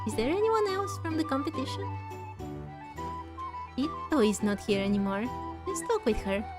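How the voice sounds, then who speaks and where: A woman's voice: sits high, sing-song, normal speed, over music. A woman giving casual, reflective let's-play commentary, musing aloud as she plays. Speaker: gaming video host.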